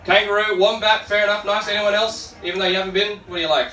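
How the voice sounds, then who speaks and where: A man's voice: high-pitched, level tone, fast talker, sharp tone. A man from Australia with an Australian accent doing stand-up comedy to an audience, informal and sweary, chatting with the crowd in asides. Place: live comedy venue with an audience. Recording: echoey, noisy room, distant microphone.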